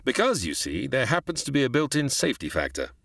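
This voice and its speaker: An actor playing an authoritative, formal scientist in a retro sci-fi radio drama, speaking deliberately and commandingly. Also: male voice, mid-range voice, wildly swooping pitch, creaky, fairly bright tone, a little hiss or hum, full character voice. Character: authoritative, formal scientist host, grown man.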